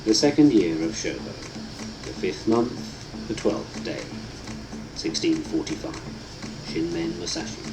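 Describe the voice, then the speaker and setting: A man's voice: deep voice, lively intonation, takes it slowly, pauses often, rough recording. Solemn male audiobook narrator over prominent music. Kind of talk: measured scripted book narration. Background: prominent instrumental music.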